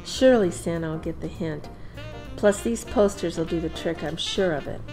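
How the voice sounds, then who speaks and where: A woman playing an affectionate, practical young mother in a radio play, reassuring and gently firm. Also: fairly low pitch, normal speed, lightly acted, low-quality recording. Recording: music behind the voice.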